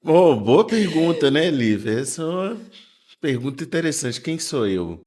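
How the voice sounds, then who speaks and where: A man's voice: a little high, big pitch swings, moderate pace, a little mellow. A man, a lawyer guest telling family stories in an easygoing, humorous way. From born in Venezuela, raised in inland Minas Gerais, Brazil. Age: 40s. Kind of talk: casual interview storytelling.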